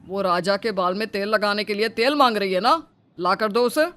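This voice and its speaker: A man's voice: high-pitched, moderate pace, sharp tone, clear, resonant tone. An actor playing a stern, domineering father-in-law in a family story, lecturing and reproachful. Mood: scolding.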